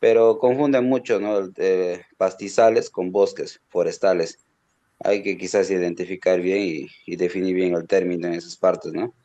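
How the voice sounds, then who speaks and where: A man with a Peruvian accent speaks up politely as a student. His voice is deep and soft-edged, and the sound is dull and low in fidelity. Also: fairly even intonation, moderate pace. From Puerto Maldonado, Peru. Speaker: student making a comment. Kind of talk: polite spoken comment in class.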